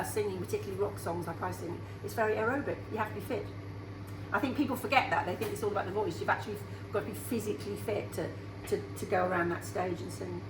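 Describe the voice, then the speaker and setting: A woman in her sixties, a singer being interviewed on radio, who talks in a chatty, upbeat way. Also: mid-range voice. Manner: chatty and upbeat, laughs easily. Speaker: singer guest. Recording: roomy sound with faint background hum.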